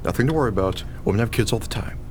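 A man's voice: on the low side, lively intonation, very fast, darkish tone, slight background noise, natural voice. A man playing a nervous expectant husband in a radio play, trying to sound confident. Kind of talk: radio drama acting.

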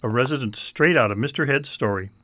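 A man playing an earnest, formally polite Victorian gentleman in a radio drama. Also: mid-range voice, a little fast, close to an everyday voice. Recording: noisy night ambience with crickets.

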